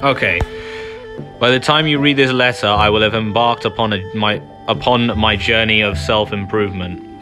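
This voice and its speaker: Male gaming host: low voice, playthrough commentary and read-aloud dialogue, faint music underneath. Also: steady tone, moderate pace. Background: game music, faint.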